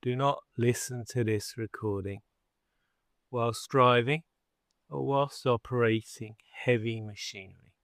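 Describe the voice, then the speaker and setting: A man guiding a sleep hypnosis session in a soothing, measured manner with long pauses between phrases. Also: medium pitch, steady tone, fairly bright tone, no room sound, clean studio sound. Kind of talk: guided sleep hypnosis narration.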